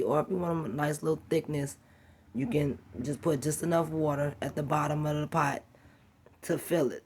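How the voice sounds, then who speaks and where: A woman with a slightly raspy voice from a cold, chatting casually and encouragingly as she walks through an easy home cooking task. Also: deep voice. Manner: chatty, rambling and encouraging, talks while working. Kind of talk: casual how-to cooking vlog.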